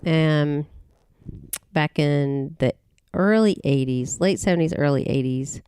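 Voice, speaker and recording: A middle-aged woman from Tennessee, a podcast guest recalling her family in casual conversation, halting often and restarting her sentences. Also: low voice, warm tone, smooth, no room sound, studio quality. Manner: rambling, halting with many restarts and fillers.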